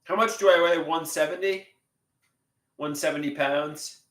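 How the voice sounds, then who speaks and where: A man's voice: a little high, steady tone, a little slow, a bit bright. A man in his late thirties chatting casually on a livestream and reading viewer comments aloud, with a stuffed nose. He halts often, repeating words and restarting sentences. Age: 30s. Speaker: livestream host. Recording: echoey room.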